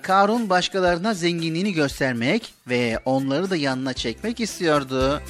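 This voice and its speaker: A man hosting a children's religious programme, telling stories and teaching morals in an expressive, direct way. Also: fairly high pitch. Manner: expressive, didactic, addressing listeners directly. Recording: music behind the voice. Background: background music.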